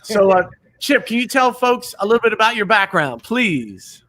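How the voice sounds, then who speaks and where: Bright-voiced male podcast host. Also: high voice, sing-song. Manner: joking, quick back-and-forth banter. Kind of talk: casual interview chat.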